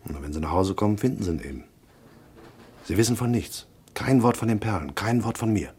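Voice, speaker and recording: A man's voice: fairly low pitch, lively intonation, a little fast, darkish tone, slightly rough. An actor playing a hardboiled, laconic private detective in a radio drama, narrating his case tersely and dryly. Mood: grim, guarded. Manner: terse, dry, unimpressed.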